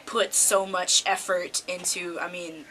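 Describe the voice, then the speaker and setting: A woman's voice: fairly low pitch, flat delivery, unhurried, bright, forceful tone, low-quality recording. A teenage girl, a student actor, reflecting casually in an interview in a hesitant, informal way. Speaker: high school student actor. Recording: faint background noise.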